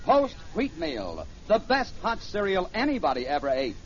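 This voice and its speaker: A man's voice: high-pitched, wildly swooping pitch, moderate pace. A man reading a 1950s radio commercial as an enthusiastic salesman. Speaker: cereal commercial announcer. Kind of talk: 1950s scripted radio advertising. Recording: muffled old radio recording, faint hiss.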